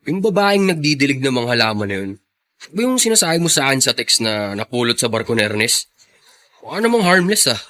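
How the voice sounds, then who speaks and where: A man's voice: medium pitch, big pitch swings, brisk, fairly bright tone. An actor with a Filipino accent playing a casual, skeptical male confidant in a radio drama. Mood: curious, skeptical.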